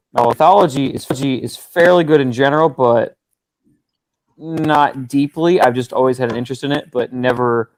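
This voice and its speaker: A man from Florida, a dungeon master guest on a casual podcast, talking in a measured, slightly halting way. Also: mid-range voice, no echo, rough recording. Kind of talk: casual group podcast chat.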